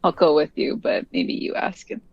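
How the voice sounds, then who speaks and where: A tabletop game player who describes their character's actions in a chatty, rambling way with many fillers. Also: normal speed. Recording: dull, dry lo-fi mic.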